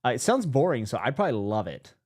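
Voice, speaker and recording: A man's voice: medium pitch, big pitch swings, fast, a little mellow, breathy edge. A man hosting a casual livestream podcast, rambling and self-deprecating, laughing often. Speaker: podcast host.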